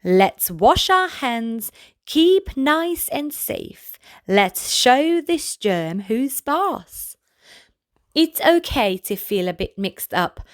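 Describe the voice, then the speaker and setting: A woman reading a children's picture book aloud as a storyteller, in a gentle, deliberate manner addressed to young listeners. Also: a little high, very animated, dry recording, studio quality. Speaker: children's storybook reader, channel host. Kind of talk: read-aloud bedtime story narration for children.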